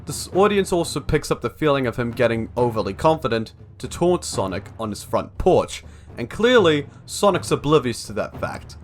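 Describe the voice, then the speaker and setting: A man's voice: medium pitch, very animated, moderate pace, slight background noise. A young man reading a scripted analytical narration for a video essay.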